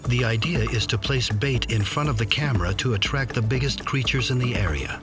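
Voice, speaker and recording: A man narrating a nature documentary in a measured scripted delivery. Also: medium pitch. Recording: music bed behind voice-over. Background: music.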